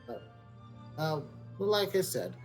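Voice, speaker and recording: A man giving casual game commentary as a streamer, thinking aloud in a halting way. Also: on the high side, big pitch swings, soft background music.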